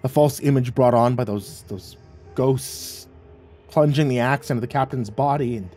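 A man narrating a first-person horror story in a tense, halting way with stammered restarts. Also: mid-range voice, mellow. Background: faint music.

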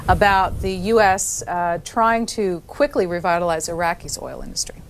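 A woman with a somewhat low voice hosts a call-in interview, putting questions in a deliberate, clear way. Her speech is steady, and a faint hiss runs under the recording. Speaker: call-in show host.

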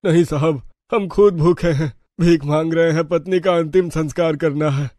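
Sorrowful humble father, mellow, acted by a man. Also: a little high, normal speed, no echo, lightly acted. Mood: sad pleading. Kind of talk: animated Hindi moral story acting.

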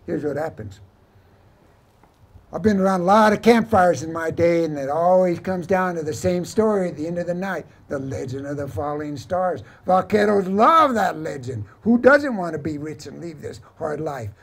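Man as a knowing, husky old camp cook. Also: fairly high pitch, animated. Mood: knowing, deliberate. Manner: deliberate, needling, knowing. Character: older, wiser, mocking camp cook in a Western.

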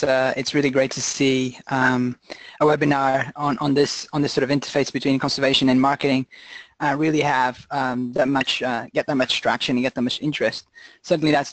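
A man presents an informal online lecture in a mid-pitched voice. He explains in a halting way, often repeating words as he goes. The recording is close and dry. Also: no room sound, poor audio. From Portugal. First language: Portuguese. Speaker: guest presenter, conservation marketing researcher.

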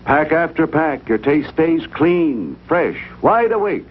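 A man's voice: fairly high pitch, very animated, slow, a little hiss or hum. A man reading a scripted 1950s television advertisement as a commercial announcer. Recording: tinny, faintly humming 1950s commercial audio.

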